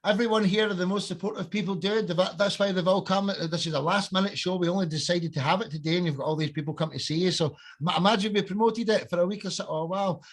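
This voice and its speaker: A man hosting a casual podcast, brisk and upbeat as he calls on each speaker in turn. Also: high voice. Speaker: podcast host. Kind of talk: casual video call chat.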